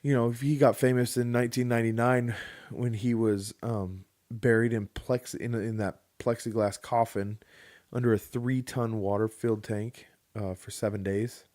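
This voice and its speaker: A man's voice: medium pitch, level tone, normal speed, a little mellow, dry recording, studio quality. A man co-hosting a casual podcast, reading a news article aloud with joking, sarcastic asides. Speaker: podcast co-host.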